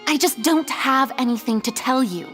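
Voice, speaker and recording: An actress playing a flamboyant, evasive young female goddess who speaks in a theatrical, defensive, dramatic way, heard as game audio with reverb over soft music. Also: sits high, normal speed.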